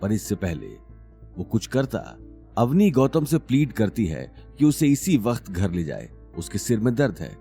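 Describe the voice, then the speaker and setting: Deep, animated male story narrator. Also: unhurried, gravelly, faint music behind. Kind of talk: dramatic scripted story narration.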